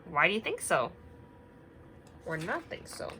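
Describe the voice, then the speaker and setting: A young woman teacher reading a picture book aloud and asking the children gentle questions, sometimes dropping to a whisper. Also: on the low side, very animated, a little slow, a little hiss or hum. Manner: drops to a whisper at quiet parts.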